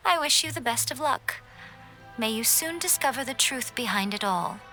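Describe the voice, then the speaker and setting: A woman voicing a knowing, courteous divine fox familiar in an anime video game, speaking in a measured, formal, gently wry manner. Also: on the high side, expressive, faint music behind, poor audio.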